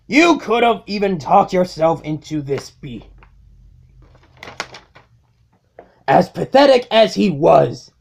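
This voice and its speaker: A woman playing a cold, contemptuous robot warlord in a comic dub, speaking with slow, grandiose menace. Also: on the low side, creaky, slightly rough, exaggerated voice. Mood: scornful. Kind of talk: comic dub dramatic acting.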